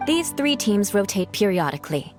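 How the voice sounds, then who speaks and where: Game knight captain, played by a woman: young, reserved, formal, mid-pitched with lively intonation, music underneath. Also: normal speed, natural voice. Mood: composed. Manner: composed, formal, matter-of-fact. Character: proud, reserved young female knight captain, matter-of-fact. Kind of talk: video game voice acting.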